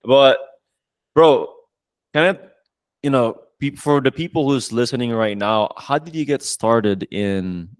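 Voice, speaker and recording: A man hosting a casual interview, excitable and slangy, often calling his guest "bro". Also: medium pitch, a little slow, smooth, no room sound. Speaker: podcast host.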